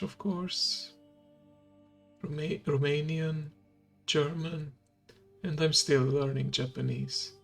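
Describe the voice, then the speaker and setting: Male VTuber, native Hungarian speaker, slightly higher pitched, slow hushed ASMR delivery. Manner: slow, hushed and gravelly, drifting into whisper. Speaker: VTuber streamer. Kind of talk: soft-spoken ASMR stream. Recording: faint background music.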